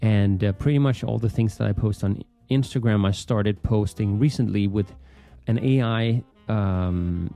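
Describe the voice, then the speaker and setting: Creaky, mellow Danish man hosting a podcast. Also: on the low side, unhurried, faint music behind. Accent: Danish with American leanings. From Denmark. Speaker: podcast host. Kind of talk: casual solo podcast introduction.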